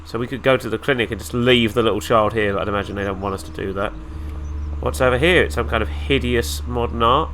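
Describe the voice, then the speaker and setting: A man's voice: mid-range voice, fast talker, a bit bright, slight background noise. A man with a nasal, flu-stuffed voice, giving casual let's-play commentary with dry, sarcastic asides. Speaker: gaming YouTuber.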